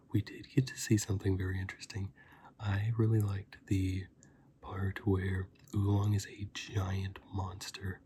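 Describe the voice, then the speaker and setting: A man hosting a casual ASMR review, speaking softly and breathily in an unhurried, rambling way, often dropping into a whisper. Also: fairly low pitch, slight background noise. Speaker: host of an ASMR review channel. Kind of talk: soft-spoken ASMR film review.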